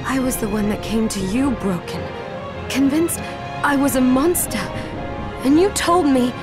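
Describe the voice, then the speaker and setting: A young heroine in a video game drama. Her voice sits fairly high and sounds earnest and resolute, carrying a burden. Music plays clearly behind her. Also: measured pace. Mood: pleading. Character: young woman fighter, earnest, determined, burdened by crystal curse.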